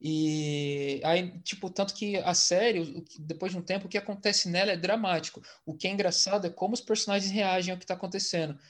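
A man hosting a casual podcast chat about TV series, speaking Brazilian Portuguese in a relaxed, slangy way full of fillers. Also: high voice, fairly quick, few pauses. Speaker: podcast host.

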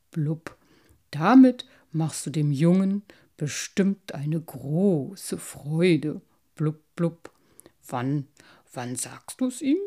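Storybook carp, played by a woman: thoughtful male fish, unhurried, lower register, bubbly verbal tics. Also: dark tone, breathy edge, full character voice. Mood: pleased. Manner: deliberate, bubbling blub interjections between phrases. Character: slow, thoughtful old-fashioned male carp, loyal and easily moved. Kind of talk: storybook character voice.

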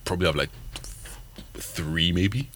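A man's voice: low voice, a little slow, a bit bright. A man chatting casually and earnestly, filling his speech with lots of "like". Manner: earnest, hedging with many "like"s. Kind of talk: casual chat.

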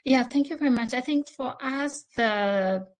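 A woman's voice: high voice, a little slow. A woman from East Africa with an East African accent, a native speaker of a local language, giving explanatory answers as a panelist. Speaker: panelist, transport nonprofit leader.

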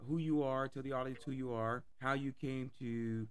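A man hosting an interview podcast, his voice hoarse from a cold, talking with enthusiasm and repeating words. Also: mid-range voice, steady tone, moderate pace. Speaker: podcast host. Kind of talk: casual interview.

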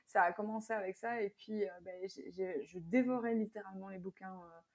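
A woman's voice: medium pitch, brisk. A woman in her mid twenties from Belgium, chatting casually as an author being interviewed.

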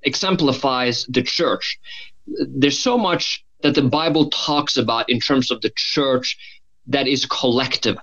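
A man with a Norwegian accent talks things through in a measured, reflective way, often restarting his sentences. His voice sits at an ordinary pitch. The recording sounds slightly dull and lo-fi. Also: bright, forceful tone. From Norway. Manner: thoughtful, measured, with frequent restarts. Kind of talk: conversational podcast discussion.

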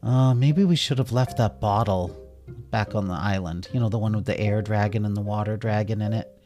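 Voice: medium pitch, a little fast, warm tone, faint music behind, lightly acted. A storyteller voicing a motherly female animal companion in a children's tale, worried and fussing. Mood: doubtful.

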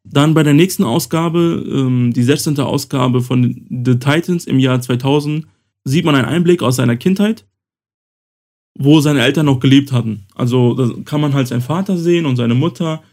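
A man's voice: medium pitch, darkish tone. A man hosting a casual talk, explaining at length with frequent hesitations; his first language is Romani. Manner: explains slowly with many fillers. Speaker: host. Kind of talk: casual podcast-style explainer.

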